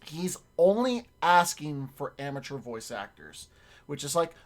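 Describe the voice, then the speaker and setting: A young man chatting loosely on his stream, his voice worn raspy and a little high. He rambles, backs up and restarts his sentences, and drops a swear now and then. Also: big pitch swings, a little slow. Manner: rambling, self-correcting, swears casually. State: raspy, strained voice. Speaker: streamer and voice actor. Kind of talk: casual stream chat.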